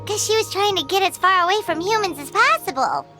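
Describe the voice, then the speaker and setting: Tiny excitable girl companion, very high-pitched voice, loud game music behind. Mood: curious. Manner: shrill, excitable, quick to panic.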